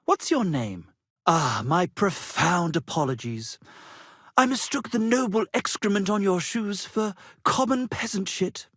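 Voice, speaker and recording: An actor voicing a vain, florid wandering poet-musician, theatrical and grandiloquent, in a medieval video game. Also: male voice, sits high, animated, unhurried, fairly bright tone, no room sound, studio quality, full character voice. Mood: haughty, scornful. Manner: flowery, grandiloquent, melodramatic.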